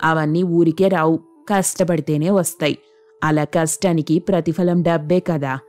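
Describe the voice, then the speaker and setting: A woman's voice: sits low, steady tone, normal speed, soft background music, natural voice. A woman playing a greedy, nagging middle-aged mother-in-law who scolds and demands in a cartoon moral story. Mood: scolding. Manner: scolding, demanding, sharp-tongued. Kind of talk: dramatic Telugu moral story cartoon dialogue.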